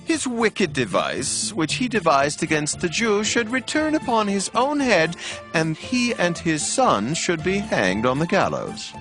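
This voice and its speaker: Slow, commanding ancient king. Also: high voice, wildly swooping pitch, heavily acted. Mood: stern decree. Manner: stately, declamatory. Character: powerful Persian king, solemn and commanding. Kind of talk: dramatized scripture acting. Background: background music.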